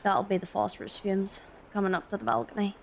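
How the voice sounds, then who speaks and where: A woman's voice: medium pitch, fairly even intonation, fast talker, vocal fry, a little hiss or hum, lightly acted. A woman playing a meek, sickly young Victorian match girl in an audio drama, hesitant and deferential. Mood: friendly, weary. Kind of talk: fan audio drama acting.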